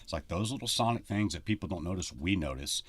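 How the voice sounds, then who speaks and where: A man with a deep voice, pitched at the very bottom of the range, talks as a guest on a podcast. He is a touring country musician who tells stories loosely and circles back with plenty of "you know". The sound is dry and close, with no room echo. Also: expressive, fast, slightly rough. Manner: rambling storyteller, many "you know"s. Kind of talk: casual podcast conversation.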